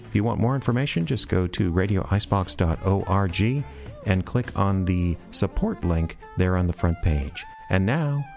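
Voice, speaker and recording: A man's voice: low-pitched, lively intonation, moderate pace, creaky, darkish tone. A man hosting a podcast, delivering a scripted introduction and thank-yous to listeners. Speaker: podcast host. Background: faint music.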